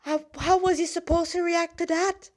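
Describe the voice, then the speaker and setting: A woman reads her own written story aloud in an informal, amateur manner. Her delivery is halting, with stumbles over words and quick self-corrections, and she slips between narration and casual remarks of her own. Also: very high-pitched, fairly even intonation. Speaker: fan fiction writer reading own story.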